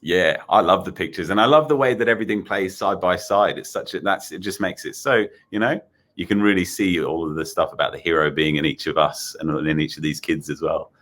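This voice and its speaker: An Australian man hosts a live interview for children. His voice sits a little low. He talks casually and effusively, reacting with open enthusiasm as he passes questions along. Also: a little fast, fairly bright tone. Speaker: host of a children's show, in character as a space captain.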